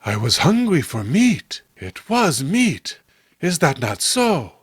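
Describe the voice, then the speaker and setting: A man voicing a young female mermaid from a horror comic, savage and innocently hungry, with slow, childlike bluntness. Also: fairly high pitch, wildly swooping pitch, slightly rough, no echo, clean studio sound, heavily acted. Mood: hungry, matter-of-fact. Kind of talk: horror comic read-along acting.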